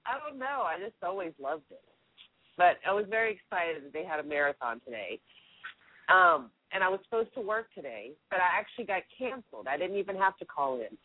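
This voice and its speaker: A woman with a deep voice, sick with a cold, chatting casually and giggling as co-host. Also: very animated, a little fast, slightly rough, no echo. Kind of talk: casual chat. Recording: tinny phone line.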